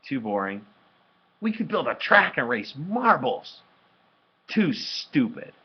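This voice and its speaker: A man reading a storybook aloud, voicing an angry, sullen young boy in a loud, emphatic, petulant way. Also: fairly low pitch, very animated, moderate pace, slightly rough, lo-fi sound. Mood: defiant, scornful.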